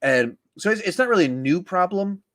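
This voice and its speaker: A man's voice: sits high, lively intonation, brisk, a bit bright. A man hosting a casual film podcast, explaining his points in a conversational way. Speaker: podcast host.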